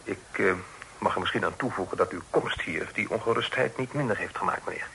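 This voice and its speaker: Casting: polite young gentleman, earnest and formal, clear forward bright tone, hissy old radio play. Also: on the low side, a little fast, rough recording. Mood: polite, hesitant. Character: courteous, earnest young gentleman, eager to explain. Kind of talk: period radio drama acting.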